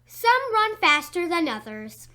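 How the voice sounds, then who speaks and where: A woman's voice: very high-pitched, sing-song, sharp tone, pitched above the performer's own voice, exaggerated voice. A woman voicing a haughty living piece of furniture, in a storybook reading. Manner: haughty, slow and puzzled.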